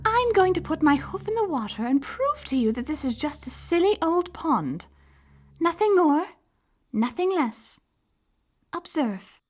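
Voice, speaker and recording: A woman's voice: very high voice, sing-song, unhurried, heavily acted. A woman playing a prim, haughty, dismissively confident unicorn pony in a theatrical fan fiction reading. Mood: smug, defiant.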